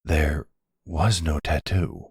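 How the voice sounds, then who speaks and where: A man plays a protective mob boss boyfriend in an intimate roleplay drama, with an extremely low, husky voice. He takes his time, earnest and halting, tender toward his partner, and the sound is close and dry. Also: fairly even intonation, a little mellow, no echo, full character voice. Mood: troubled, earnest. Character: devoted, weary mafia boss boyfriend, protective.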